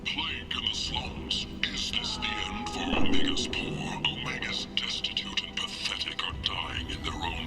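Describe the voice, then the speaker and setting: A scornful sci-fi broadcaster in a video game, declaiming callously with huge swings of pitch. He sounds distant and echoing, with loud music behind. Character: callous, sensationalist sci-fi news announcer.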